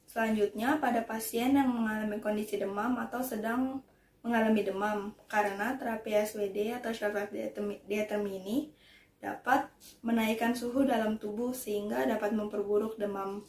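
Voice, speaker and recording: A woman's voice: mid-range voice, fairly even intonation, normal speed, darkish tone. A young adult woman, a student presenter, explaining point by point in an academic presentation. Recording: roomy, echoing voice recording.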